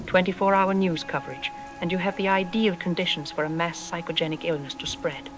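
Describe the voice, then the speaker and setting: A woman playing a self-assured psychologist on a radio drama interview, explaining in an articulate, didactic and calmly dismissive way. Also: lowish voice, natural voice, poor audio. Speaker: expert interview guest. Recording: music playing under the voice. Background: background music.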